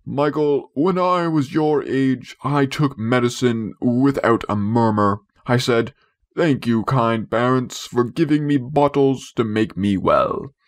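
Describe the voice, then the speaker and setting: A man's voice: medium pitch, takes it slowly, natural voice. Pompous middle-aged storybook father, played by a male reader, self-important, blustering, sulky. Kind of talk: storybook character voice.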